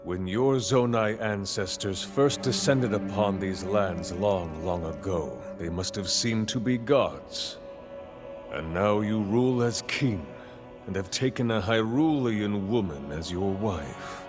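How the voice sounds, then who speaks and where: A man's voice: lowish voice, takes it slowly, music in the background. An actor playing a proud, scheming warlord king with formal, slyly deferential speech in a dramatic video game cutscene. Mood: feigned reverence.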